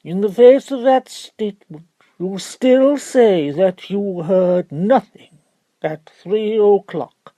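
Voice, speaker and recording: A man voicing a pompous, theatrical Attorney General in an audiobook, cross-examining with drawn-out, incredulous emphasis. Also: high voice, warm tone, dry recording, voice pushed up. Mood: fierce, incredulous. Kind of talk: audiobook character voice.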